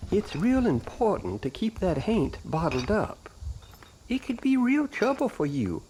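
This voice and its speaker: A man's voice: high-pitched, very animated, measured pace, a little mellow, slight background noise, poor audio. A man playing an eager, courteous, folksy assistant to a ghost hunter in an audio drama. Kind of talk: audio drama character acting.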